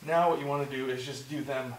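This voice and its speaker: A man teaching a movement drill step by step, encouraging and repeating himself, slightly hoarse and short of breath. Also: mid-range voice, fairly even intonation, fast talker, roomy sound, distant mic, a little hiss or hum, rough recording. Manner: encouraging, repeats "just like that" and "good". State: losing his voice, a bit out of breath. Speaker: instructor. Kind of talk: step-by-step demonstration lesson.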